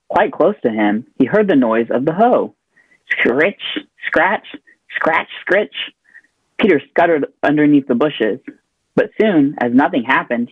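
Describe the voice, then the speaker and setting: A man's voice: mid-range voice, slightly rough, dry recording. A young man reading a children's storybook aloud as a student volunteer. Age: young adult. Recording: muffled phone-like, narrow band, dry.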